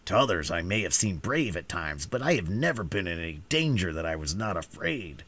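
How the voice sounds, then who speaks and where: A man doing the deep, grave Cowardly Lion. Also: very animated, brisk, fairly bright tone, heavily acted. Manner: grave, polite. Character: big timid male lion, polite and grave.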